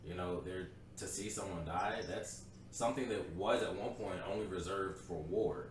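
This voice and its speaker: Young man, low voice, blunt opinions, casual podcast co-host; sits back from the mic in a very echoey room with faint hiss. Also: moderate pace, far from the mic. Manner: opinionated, blunt, laughs easily. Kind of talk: casual chat.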